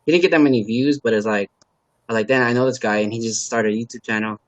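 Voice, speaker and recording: Male guest from LA, native Khmer speaker, mid-pitched, loose and rambling, dry close sound. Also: no room sound, muffled recording. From Los Angeles, USA. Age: adult parent. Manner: halting, filler-heavy, laid-back. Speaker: guest, car builder and YouTuber. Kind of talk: casual interview chat.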